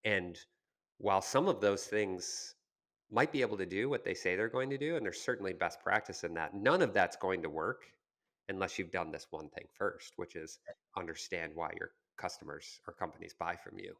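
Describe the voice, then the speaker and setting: A man with a low, deep voice talks as co-host of a business podcast. His delivery is conversational and explanatory, built around examples from experience. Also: moderate pace, creaky, a bit bright. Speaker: podcast co-host discussing marketing.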